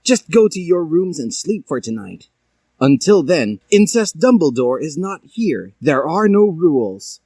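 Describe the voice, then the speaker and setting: Man doing a high, lilting skeleton professor. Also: big pitch swings, measured pace, a little mellow, husky, dry recording, full character voice. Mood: reassuring. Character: skeletal male professor, reassuring, eccentric.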